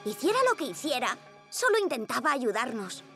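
High, lively young girl superhero. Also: normal speed, full character voice. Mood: calm, reassuring. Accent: Castilian Spanish. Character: young girl owl superhero, friendly, level-headed. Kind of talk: animated cartoon dub. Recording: loud cartoon music underneath.

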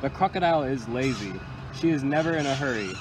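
A young man reads a picture book to small children with expressive, deliberate storytelling phrasing, recorded outdoors. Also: medium pitch. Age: young adult. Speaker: county environmental employee reading to children. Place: outdoors under a tree by water. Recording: outdoor recording with loud ambient noise.

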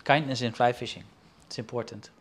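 A man from the Netherlands in his forties, chatting in an interview. He speaks haltingly, full of fillers and restarts, over a video call from a shelf-lined room. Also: medium pitch, fairly even intonation, husky. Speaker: guest artist and angler. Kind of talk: casual interview. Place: indoor studio room with shelves.

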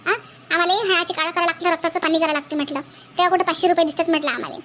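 A woman voicing several bickering village women in a cartoon, shrill and scolding, with a Varhadi Marathi accent. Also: fairly even intonation, very fast, a bit bright, slight background noise. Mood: indignant. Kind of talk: cartoon voice acting.

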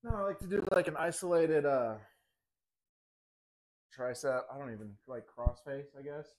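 A man's voice: a little high, normal speed, a little mellow, low-quality recording. A young man vlogging his workout in a home gym, rambling casually between sets and winded from lifting, picked up by a camera across the room. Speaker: fitness vlogger. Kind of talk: casual commentary during a workout.

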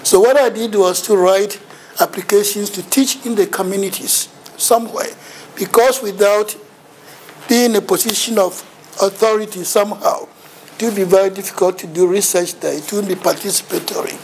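A man's voice: sits high, fairly even intonation, moderate pace, slight background noise, poor audio. A middle-aged man with a Ghanaian accent giving a seminar lecture in a lecture room, telling his story with self-mocking humour. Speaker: visiting university lecturer. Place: lecture room in front of a projection screen.

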